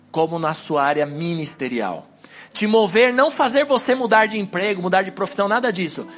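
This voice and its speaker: A man preaching a sermon to a congregation on a handheld wireless mic, hoarse from a cold, exhorting and rising to emphatic peaks. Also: high-pitched, fairly bright tone.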